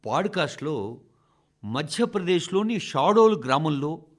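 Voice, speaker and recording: Expressive, high-pitched man reading an address. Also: a little slow. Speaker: radio reader of a translated national address. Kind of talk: scripted broadcast narration, oratorical address.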